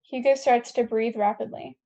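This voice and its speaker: A woman's voice: fairly high pitch, fairly even intonation, normal speed, dark tone. A woman reading scene headings and stage directions as the narrator of a scripted table read.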